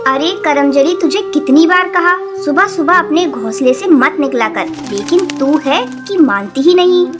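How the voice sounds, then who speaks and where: A woman's voice: high-pitched, lively intonation, moderate pace. A woman voicing a harsh, scornful mother bird in a cartoon story, scolding with contempt. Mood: angry scolding. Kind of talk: dubbed cartoon story acting. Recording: music behind the voice.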